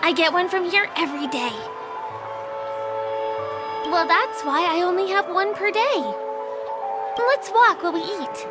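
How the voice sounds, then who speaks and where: An actress voicing a cheerful, gentle young woman who works as a local tour guide in a video game, speaking politely and eagerly. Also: very high voice, unhurried, pauses often. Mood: friendly. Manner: polite, friendly, eager to please. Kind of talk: English video game dub dialogue. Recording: game music behind the voice. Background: background game music.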